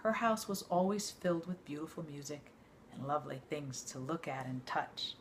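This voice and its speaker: A woman reading an autobiography aloud like a teacher to students, in a measured, expressive storytelling way. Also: low voice, slight background noise.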